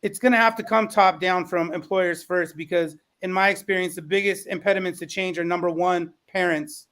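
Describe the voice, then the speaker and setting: A man's voice: high-pitched, fairly even intonation, a little fast, fairly bright tone. A man speaking conversationally as a panelist, making his case in an articulate, persuasive way. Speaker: podcast panelist.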